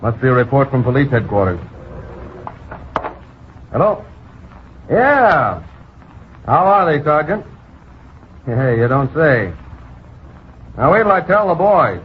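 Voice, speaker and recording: An actor playing a brisk, jovial Border Patrol chief in an old-time radio drama. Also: male voice, medium pitch, sing-song, husky, exaggerated voice. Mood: amused. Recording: muffled, hissy old radio recording.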